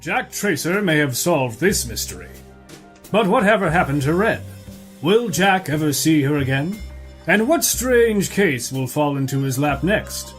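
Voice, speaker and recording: A man's voice: fairly high pitch, sing-song, a little slow, soft background music. A man announcing in the dramatic, scripted style of an old radio serial.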